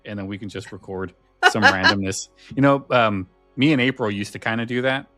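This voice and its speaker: A man's voice: lowish voice, a little fast, bright, forceful tone, dry recording, faint music behind. A man chatting casually as a podcast host, rambling and a little hesitant. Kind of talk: casual chat.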